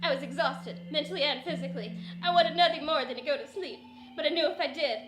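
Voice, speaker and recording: A woman's voice: high voice, fast talker, noisy background, close to an everyday voice. A woman playing a hysterical, overwrought wife in a comic 1940s-style radio melodrama, frantic and theatrical. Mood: dramatic narration. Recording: roomy echo under loud music.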